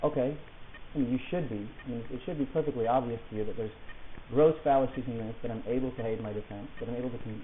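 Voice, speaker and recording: Guy playing a stubborn defendant in an audio play, talks fast, nitpicky and insistent, sounds like a phone line. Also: medium pitch, lightly acted. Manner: insistent, pedantic, methodical arguing. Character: stubborn, articulate male defendant insisting he is sane. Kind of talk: argumentative dialogue in an audio play. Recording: tinny phone-like sound with hiss.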